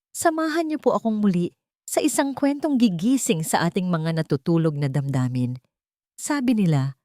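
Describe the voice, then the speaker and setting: A woman's voice: on the low side, darkish tone, no room sound, clean studio sound. A woman storyteller reading a scripted, dramatic tale as narrator.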